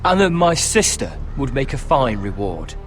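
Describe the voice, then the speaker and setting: An actor playing a confident, forthright young lordling who commands guards in a fantasy video game. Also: male voice, mid-range voice, big pitch swings, normal speed, close to an everyday voice. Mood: brash. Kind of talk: video game dramatic acting. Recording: music behind the voice.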